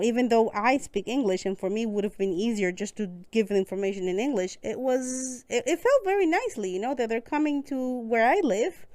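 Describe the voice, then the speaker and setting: Woman, smooth and earnest. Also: mid-range voice, darkish tone, no room sound. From Costa Rica. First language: Spanish. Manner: earnest, with frequent hesitations. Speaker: guest, newcomer support worker. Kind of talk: casual podcast conversation.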